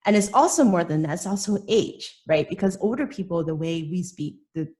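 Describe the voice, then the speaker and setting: A woman's voice: lowish voice, lively intonation, fairly quick, a little mellow. Reflective middle-aged woman with slight British accent. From Hong Kong. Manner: reflective, frequent restarts and rhetorical questions. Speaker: panelist. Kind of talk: webinar panel discussion.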